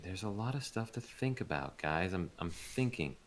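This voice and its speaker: A man speaking as an interviewed voice actor, chatting casually in a rambling, informal way and pausing to think. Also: sits low, animated. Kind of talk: casual chat in a livestreamed interview.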